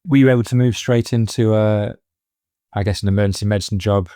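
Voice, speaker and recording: A man hosting a medical podcast, introducing his guest and asking brisk, friendly questions. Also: on the low side, steady tone, warm tone, clean tone, dry recording, clean studio sound. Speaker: podcast host. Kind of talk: podcast interview.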